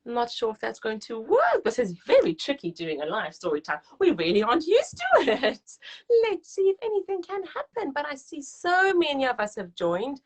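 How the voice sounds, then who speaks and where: A woman's voice: high voice, huge pitch swings, moderate pace. A woman hosting a live story time, talking to young children in an encouraging, gushing, sing-song way. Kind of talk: live read-aloud and chat addressed to young children.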